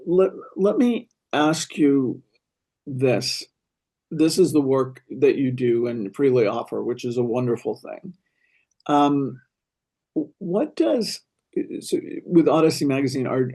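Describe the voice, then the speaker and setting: A man hosting an interview, thoughtful and curious, with filler pauses as he asks questions. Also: mid-range voice, vocal fry, mellow. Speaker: interviewer, host. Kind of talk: conversational interview.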